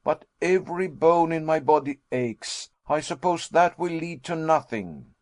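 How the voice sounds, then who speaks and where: A man's voice: on the high side, measured pace, a little mellow, pitched above the performer's own voice, close to an everyday voice. A man voicing an anxious, tired young man who speaks hesitantly and plaintively. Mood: uneasy. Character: weary anxious young man, the professor's nephew.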